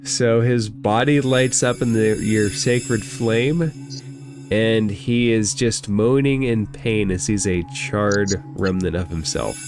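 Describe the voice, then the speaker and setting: A man's voice: on the low side, fairly even intonation, slow, darkish tone, soft background music. A man acting as game master, narrating a tabletop fantasy game in a casual, descriptive way.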